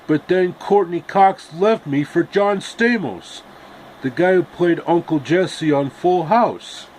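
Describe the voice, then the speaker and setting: Man acting storybook tin man, wistful, chatty, halting, slow, slightly high, faint hum behind. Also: a little mellow. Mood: forlorn.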